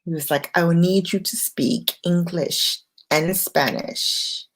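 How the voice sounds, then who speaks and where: A woman hosting an impromptu late-night livestream, speaking in an unhurried, reflective way in short, paused phrases. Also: fairly low pitch, fairly even intonation. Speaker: livestream host.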